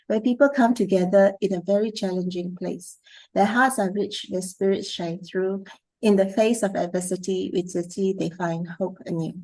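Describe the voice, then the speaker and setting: A woman reciting a rhyming poem in a measured, rhythmic way at a volunteer meeting. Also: medium pitch, darkish tone, poor audio.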